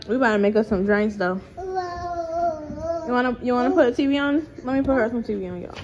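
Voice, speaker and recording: A woman's voice: a little high, fast, dull audio, background noise. A young woman, chatting playfully to viewers and a toddler in a casual family vlog, filmed on a handheld camera indoors. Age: 20s. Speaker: vlogger. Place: rented apartment kitchen, indoors. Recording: music playing over the voice.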